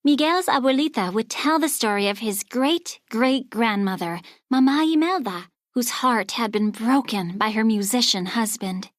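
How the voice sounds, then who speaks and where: A woman narrating a children's storybook aloud in an expressive, scripted read-along style. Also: on the high side, moderate pace, few pauses, dry recording, studio quality.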